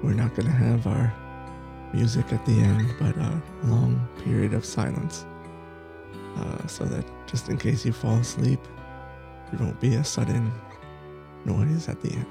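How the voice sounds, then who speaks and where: A man who is a meditation teacher, leading a guided body scan for sleep with slow, soft, soothing phrasing that repeats itself. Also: medium pitch, vocal fry, music in the background.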